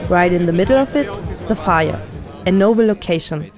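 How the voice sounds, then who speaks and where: A woman narrating a documentary in an even, measured, descriptive way. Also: lowish voice, music in the background, crowd noise. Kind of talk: scripted narration.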